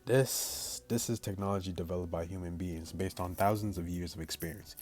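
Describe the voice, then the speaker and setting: A young man hosting a gaming video, reading visual novel lines aloud in a casual, halting way. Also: sits low, fairly even intonation, darkish tone, faint music behind. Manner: halting, stumbling reader.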